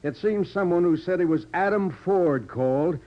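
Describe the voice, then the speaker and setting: Male actor for skeptical police sergeant, 1940s radio serial: blustery, exclaiming, higher-set voice, muffled lo-fi sound. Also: normal speed, close to an everyday voice. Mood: businesslike. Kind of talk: 1940s radio drama acting. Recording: dull lo-fi old radio recording.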